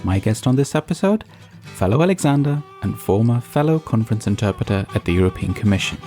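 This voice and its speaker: A man's voice: on the low side, lively intonation, fast. A man hosting a podcast reads a scripted intro. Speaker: podcast host. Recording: intro read over guitar music. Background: acoustic guitar music.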